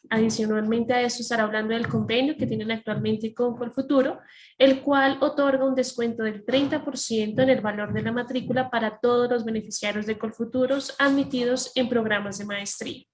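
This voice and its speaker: Woman, webinar host, quick formal delivery, slightly high voice, video call. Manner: formal, polished. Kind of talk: scripted introduction.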